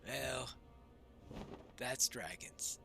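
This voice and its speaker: A man's voice: mid-range voice, drawn-out words, vocal fry. A man giving casual video game commentary, with musing, understated asides. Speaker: gamer, playthrough commentator. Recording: faint game music behind.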